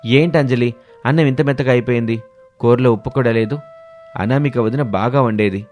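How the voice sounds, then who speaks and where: A man's voice: mid-range voice, a little fast, darkish tone, faint music behind, natural voice. A man voicing a frank, emotional younger brother-in-law in a family story drama. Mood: complaining.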